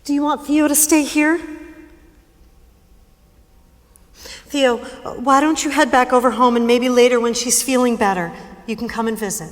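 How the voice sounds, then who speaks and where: A woman playing an anxious, gentle mother in a staged reading, with a tender, halting, fussing way of reassuring. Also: a little high, fairly even intonation, lightly acted. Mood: worried, soothing. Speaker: actor. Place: high school theater stage. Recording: reverberant hall, faint music behind.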